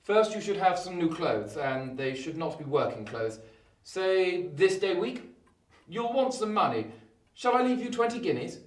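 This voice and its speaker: An actor playing a brisk, imperious Victorian lawyer with formal, emphatic phrasing in a theatrical radio play. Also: male voice, high-pitched, lively intonation, far from the mic. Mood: brisk, businesslike. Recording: roomy echo, off the mic.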